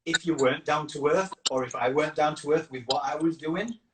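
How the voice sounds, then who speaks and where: A man with a northern English accent softened by years in Australia, hosting a casual, chatty live conversation. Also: fairly high pitch, a little fast. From Yorkshire, northern England. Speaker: campaign founder hosting a live chat. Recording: echoey room with clear background noise.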